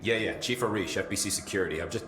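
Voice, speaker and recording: A terse security chief with a very deep voice. The game audio is roomy and echoes, with steady noise behind it. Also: male voice, fast talker. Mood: flustered. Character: gruff male security chief, brisk.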